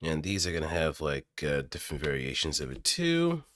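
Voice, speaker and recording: A man's voice: extremely low pitch, wildly swooping pitch, fairly quick, fairly bright tone, no room sound, studio quality. A man talking casually to himself as he works through a voice recording practice session. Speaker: amateur voice actor.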